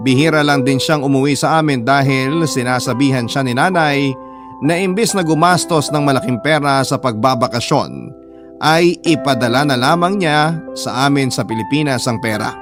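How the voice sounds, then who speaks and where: An actor with a Filipino accent playing a devoted elder sibling, narrating a sad life story in an earnest, confiding way. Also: male voice, mid-range voice, natural voice. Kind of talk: first-person dramatic radio narration.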